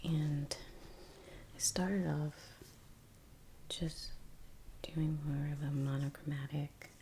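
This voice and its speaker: Hushed, slow, creaky woman doing soft ASMR. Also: low-pitched, fairly even intonation. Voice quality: breathy, half-whispered. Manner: hushed, slow, with long pauses. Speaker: ASMR painter and presenter. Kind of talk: soft-spoken ASMR, unscripted chat while painting. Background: faint ambient noise, brush sounds.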